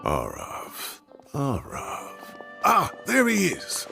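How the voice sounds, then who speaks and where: An actor playing a fretful, eccentric elderly retired professor in a video game, creaky-voiced, grumbling and theatrical. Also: male voice, mid-range voice, wildly swooping pitch, drawn-out words, music in the background, heavily acted. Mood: pondering. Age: older. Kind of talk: animated video game voice acting.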